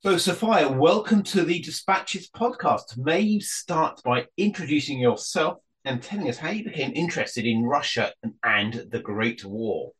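A man with a husky voice, hosting an interview podcast with scripted introductions and questions. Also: on the high side, lively intonation, moderate pace, few pauses, fairly bright tone, no room sound, poor audio.

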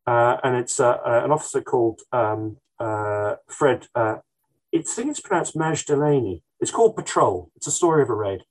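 A man's voice: medium pitch, lively intonation, normal speed, rough recording. A man answering interview questions as a historian, thoughtful and hesitant, with frequent ums and restarts. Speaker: military historian guest.

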